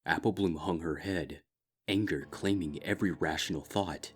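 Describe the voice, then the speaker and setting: A man reading a dark fanfiction story aloud in a slow, grave, steady narrating style. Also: sits low. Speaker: fanfiction reader.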